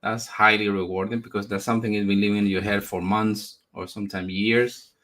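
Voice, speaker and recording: Low, bright-voiced man in his forties. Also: little pitch movement. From Spain, raised in the United States. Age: 40s. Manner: halting, repeats words while searching for phrasing. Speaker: interview guest, comic book creator. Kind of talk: conversational interview answers.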